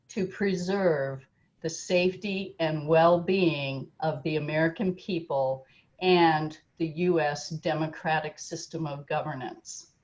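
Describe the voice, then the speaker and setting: A woman, a mental health counselor, giving an earnest, deliberate video statement. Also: sits low. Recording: muffled lo-fi recording.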